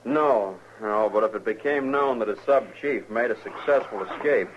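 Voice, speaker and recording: An actor playing a green, proud young American cavalry lieutenant, clipped and a little hesitant, in a 1950s radio western. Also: male voice, lowish voice, normal speed, close to an everyday voice. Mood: official. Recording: hissy muffled 1950s radio recording.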